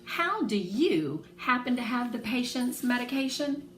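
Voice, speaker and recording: A woman's voice: fairly high pitch, sing-song, measured pace, a bit bright, pitched above the performer's own voice. A woman voicing a curt, skeptical hospital nurse in a children's storybook, clipped and wary. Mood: curt, suspicious. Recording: roomy echo, faint background noise.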